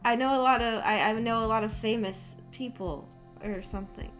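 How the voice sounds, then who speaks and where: A woman's voice: fairly high pitch, moderate pace, fairly bright tone. A woman livestreamer chatting casually with viewers in a giggly, rambling way, peppering her talk with "like". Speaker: livestreamer, visual novel book club host. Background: background music.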